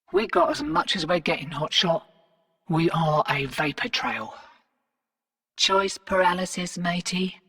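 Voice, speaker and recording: A woman's voice: fairly low pitch, pushed and bright, long reverb tail, exaggerated voice. An actress voicing a cocky female rogue fortune hunter and arms dealer in a video game, cheeky, slangy and sardonic. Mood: breezy. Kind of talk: video game character voice lines.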